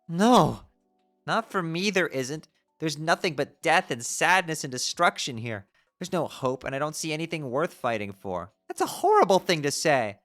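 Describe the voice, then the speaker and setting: A performer voicing a sad, despairing girl sprite who talks in a quiet, defeated way. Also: mid-range voice, animated, normal speed, soft background music, lightly acted. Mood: hopeless.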